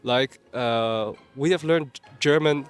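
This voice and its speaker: A man's voice: mid-range voice, slow pace, smooth, soft background music. A man from the Netherlands answering a street interview, earnest and explaining with restarts.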